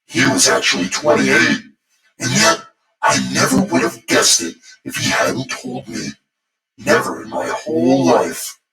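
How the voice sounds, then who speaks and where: A man's voice: low-pitched, sing-song, a little slow, sharp tone, pitched above the performer's own voice, heavily acted. Man putting on a sinister sweet little old landlady, echoey. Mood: wistful, admiring. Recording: echoey, distant voice over music.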